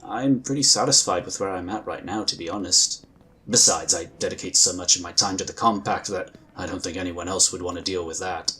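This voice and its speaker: A man playing a calm, diplomatic male inquisitor who talks in a measured, courteous way. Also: sits low. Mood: friendly. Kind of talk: fan audio drama acting.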